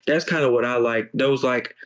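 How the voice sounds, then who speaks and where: A man's voice: mid-range voice, normal speed. A man in his twenties, a musician guest chatting casually on a podcast, laid-back and full of slang. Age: 20s. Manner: laid-back slang, says bro a lot.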